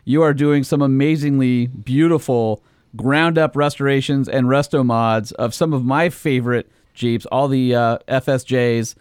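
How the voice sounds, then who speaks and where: A man's voice: mid-range voice, steady tone, measured pace, a little mellow, clean tone, no room sound, studio quality. A man hosting a truck podcast, asking questions with enthusiasm and frequent hesitations. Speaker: podcast host. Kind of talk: casual interview talk.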